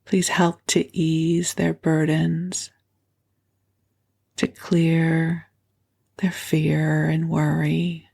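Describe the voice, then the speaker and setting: A woman hosting a bedtime meditation with a froggy morning voice, speaking softly and gently and often dropping to a whisper. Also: sits low, gravelly, slightly rough. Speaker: podcast host. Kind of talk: soothing bedtime meditation and prayer.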